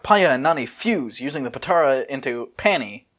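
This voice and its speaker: A man's voice: medium pitch, animated, normal speed, a bit bright. Casual man reading fan fiction aloud. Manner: breaks off from reading to comment and joke. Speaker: podcast host reading aloud.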